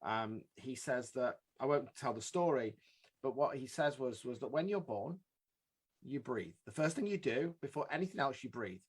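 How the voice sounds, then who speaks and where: A man hosting a podcast, talking in an earnest, quick way and cutting in with his own thoughts. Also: mid-range voice, animated, husky. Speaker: podcast host. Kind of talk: podcast interview.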